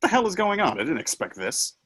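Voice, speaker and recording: A man voices a mocking Satan in a stage read-through. The voice is rough and husky, with big swoops in pitch. He is sneering and brash, taunting with theatrical relish. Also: wildly swooping pitch, a little fast, a bit bright, heavily acted. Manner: sneering, taunting, swings between mutter and outburst. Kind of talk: acting in a virtual table read.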